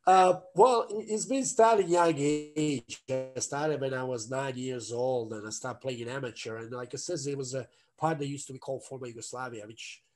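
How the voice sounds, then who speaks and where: Halting Croatian-accented man, mid-pitched. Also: faint music behind. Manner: halting, repeats words as he searches. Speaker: interview guest, actor and former athlete. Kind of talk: casual interview chat. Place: indoor room, on a video call. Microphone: video call at a computer.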